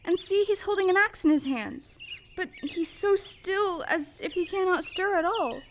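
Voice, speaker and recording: A woman plays a young farm girl, sweet, polite and full of curiosity. The voice is high and the delivery theatrical, as in an audio play. There is clear noise on the live recording. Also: moderate pace. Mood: curious. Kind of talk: stage audio play acting. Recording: noisy live stage recording.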